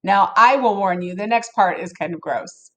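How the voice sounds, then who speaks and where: A woman reading a picture book aloud to children in an expressive, playful way, with casual asides to her listeners. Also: mid-range voice, normal speed. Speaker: storybook reader for children.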